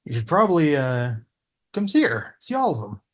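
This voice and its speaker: A man's voice: fairly high pitch, huge pitch swings, moderate pace. A man reading a pushy, friendly in-law's lines at a casual table read. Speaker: cast member at a table read.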